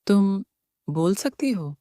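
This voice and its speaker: A woman's voice: medium pitch, lively intonation, slow, warm tone, pitched below the performer's own voice. A woman voicing a sad, meek motherless little girl in a cartoon story. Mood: timid wonder.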